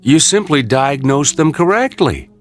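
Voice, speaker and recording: A man's voice: fairly high pitch, very animated, measured pace, faint music behind. An actor playing a skeptical, dry-witted male doctor in a radio drama, with an incredulous, wry, emphatic delivery.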